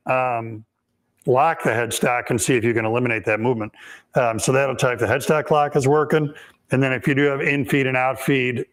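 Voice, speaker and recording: A man with a raspy, hoarse voice, hosting a live woodworking Q&A and explaining techniques step by step in a shop, with frequent ums. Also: mid-range voice. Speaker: woodworking instructor and host.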